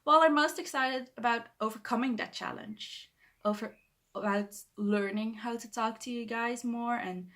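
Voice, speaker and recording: A woman's voice: a little high, fairly bright tone. A young woman from the Netherlands with a Dutch accent, talking to camera in her bedroom in a hesitant, self-correcting way with shy laughs. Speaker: booktuber. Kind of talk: casual talk to camera.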